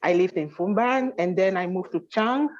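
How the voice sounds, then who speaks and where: A woman from Cameroon on a video call, telling her story in a halting way with many restarts. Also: on the low side, darkish tone. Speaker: guest. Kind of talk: casual chat. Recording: dull lo-fi call audio.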